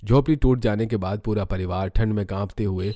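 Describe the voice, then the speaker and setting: Mellow male story narrator. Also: on the low side, fairly quick. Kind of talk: scripted Hindi cartoon story narration.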